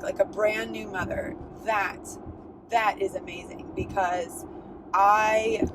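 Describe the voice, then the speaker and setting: Candid, chatty woman with a lower voice. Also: noisy background. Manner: candid and chatty, many fillers and restarts. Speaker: podcast host. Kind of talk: casual solo podcast monologue.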